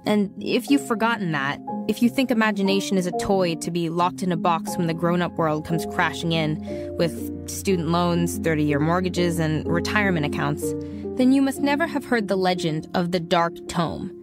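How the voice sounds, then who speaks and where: A woman's voice: on the low side, normal speed, over music, lightly acted. An actress playing a bookish, wry teenage schoolgirl in an audio drama. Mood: wry, reflective. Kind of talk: scripted first-person audio drama narration.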